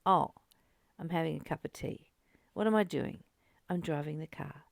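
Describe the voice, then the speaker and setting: A woman's voice: low-pitched, moderate pace, creaky, dry recording, clean studio sound. A woman hosting a spiritual study, speaking in a reflective, confiding and openly emotional way, repeating phrases for emphasis. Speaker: host of a spiritual book study.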